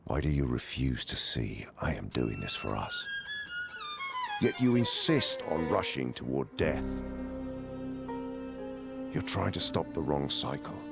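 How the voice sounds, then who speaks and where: Casting: older embittered father-antagonist for a game, extremely low, slow and grave, music underneath. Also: huge pitch swings, exaggerated voice. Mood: wounded accusation. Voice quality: very deep, gravelly. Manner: slow, weary, measured, accusing. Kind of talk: cinematic game drama acting. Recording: loud music behind.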